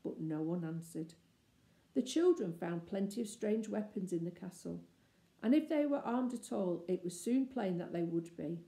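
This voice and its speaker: A woman reading a children's story aloud as expressive narration. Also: on the low side, moderate pace, vocal fry. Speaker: storybook reader. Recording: roomy, echoey indoor sound.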